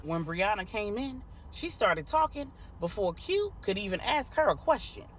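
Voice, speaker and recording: Woman telling a dramatic story, lots of swoops, pauses between phrases, some noise behind her. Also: mid-range voice, big pitch swings. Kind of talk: dramatic bedtime-story narration for grown-ups.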